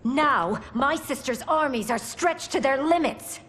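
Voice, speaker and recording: An actress playing a proud, vengeful empress in a video game, with an imperious, deliberate delivery. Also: female voice, high-pitched, a bit bright. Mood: bitter, rising anger. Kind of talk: video game cutscene acting.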